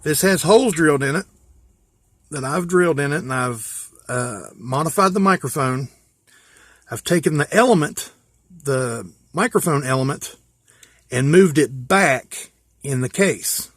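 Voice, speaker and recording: An American man with a slightly high voice talks through his hobby gear in a casual, folksy manner. He explains things plainly, one step at a time. The sound is dry, with little room echo. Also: expressive, a little slow, a bit bright. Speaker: hobbyist presenter. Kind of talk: casual hands-on gear walkthrough.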